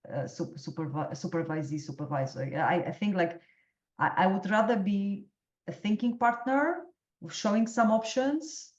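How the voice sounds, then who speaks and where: A woman's voice: medium pitch, moderate pace, reverberant, muffled recording. A woman coaching supervisor reflecting hesitantly in a discussion, thinking aloud with restarts.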